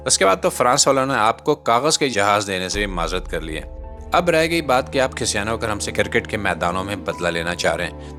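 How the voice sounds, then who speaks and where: A Pakistani man with a fairly low voice reads a scripted satirical commentary. He is sarcastic and rhetorical, with music playing faintly underneath. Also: pushed and bright. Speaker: satirical commentator, channel host.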